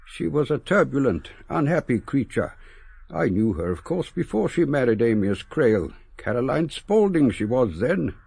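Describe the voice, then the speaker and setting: An actor playing a sentimental, eloquent old English gentleman in a radio drama, reminiscing with wistful, theatrical, deliberate phrasing. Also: medium pitch, big pitch swings, creaky, breathy edge, dry recording, heavily acted. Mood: regretful. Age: older.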